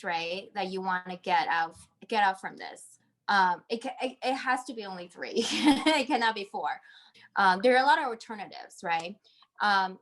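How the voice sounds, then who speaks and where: A woman's voice: mid-range voice, fairly bright tone. A woman in her thirties from Taiwan, giving conversational advice as an interview guest on a video call. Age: 30s. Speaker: interview guest, MBA graduate. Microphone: video call microphone.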